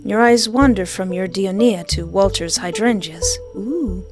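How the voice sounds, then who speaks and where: Female gamer, medium pitch, reads story text aloud and tosses in jokey asides, music clearly behind. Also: a little slow. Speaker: let's player. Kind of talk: reading game narration aloud with casual commentary. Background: game music.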